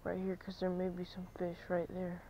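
Excitable young guy outdoors. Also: high voice, moderate pace, highs cut off, slight background noise. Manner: chatty, excitable, drops to near-whisper while stalking fish. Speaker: fishing vlogger. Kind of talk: casual vlog narration. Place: outdoors at a lake bank. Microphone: chest-mounted action camera.

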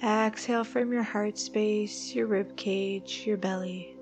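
A woman leading a guided meditation, giving soothing, unhurried instructions with long pauses between phrases. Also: medium pitch, fairly even intonation, darkish tone. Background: background music.

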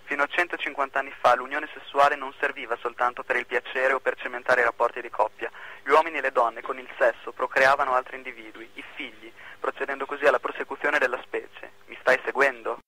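A man's voice: medium pitch, fairly even intonation, fast, pushed and bright, no echo, low-quality recording. A young man playing a mysterious, urgent informant over the telephone in an amateur radio drama. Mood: grave, urgent. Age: young adult.

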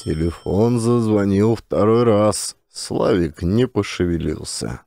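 A man's voice: on the low side, lively intonation, slow, gravelly, dark tone, dry recording, studio quality. A man narrating a scripted comic story as an actor-reader. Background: music.

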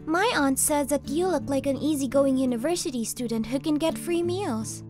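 A woman's voice: high voice, moderate pace, natural voice. A woman playing a guarded, nonchalant university student niece in a story, with an offhand manner. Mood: matter-of-fact. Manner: flat, offhand. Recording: music behind the voice.

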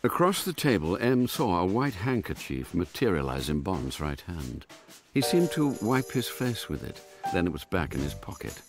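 An actor narrating a spy tale in a radio drama as a measured, scripted storyteller. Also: male voice, deep voice, sing-song, music in the background. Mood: suspenseful.

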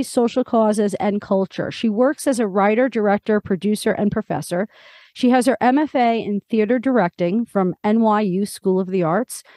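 A woman hosts an interview podcast. Her voice sits fairly high. The recording is dry and close, with very little room sound. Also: darkish tone, no echo. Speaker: podcast host. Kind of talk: scripted introduction, then conversational interview.

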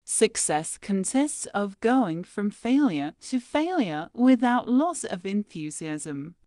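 Slow, lively-pitched woman reading quotes. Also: no echo, clean studio sound. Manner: measured, deliberate reading with pauses between phrases. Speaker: quote reader.

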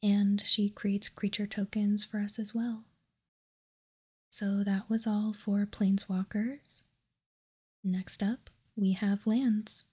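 A woman whispers in a very breathy, airy voice with almost no tone to it. She goes slowly and deliberately in an ASMR style, keeping an even, hushed delivery close to the mic. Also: medium pitch. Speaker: host. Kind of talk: whispered ASMR card showcase.

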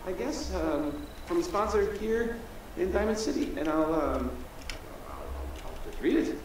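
A man's voice: high-pitched, very animated, unhurried. A man playing a timid, awkward young radio DJ in a video game, stammering and unsure. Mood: nervous. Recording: echoey, distant game radio with noise.

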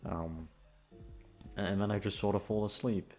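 A man's voice: fairly low pitch, steady tone, unhurried, a little mellow. A young man chatting casually and hesitantly to his listeners, rambling, swearing and putting himself down. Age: young adult. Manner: hesitant, self-deprecating, sweary. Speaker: audio roleplay voice actor chatting to listeners. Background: music.

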